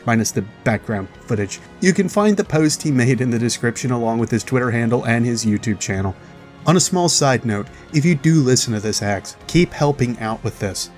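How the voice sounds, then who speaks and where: A man hosting a video, giving chatty, explanatory commentary as a voice-over about game lore. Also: medium pitch. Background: music.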